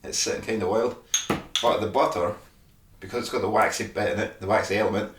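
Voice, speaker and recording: A man's voice: lowish voice, steady tone, fast talker, noisy background. Scottish man, chatty demo, echoey room, mic a bit far off. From Scotland. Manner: chatty, frequent "all right?" tags. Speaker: beard care brand host. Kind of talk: casual product demonstration.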